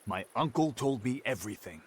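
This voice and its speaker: An actor playing a resolute Old West adventurer with an American accent, serious and plain-spoken, in a game cutscene. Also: male voice, medium pitch, lively intonation, a little fast, slight background noise, lightly acted. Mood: indignant. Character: earnest, resolute Old West frontier soldier of fortune. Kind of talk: dramatic game cutscene acting.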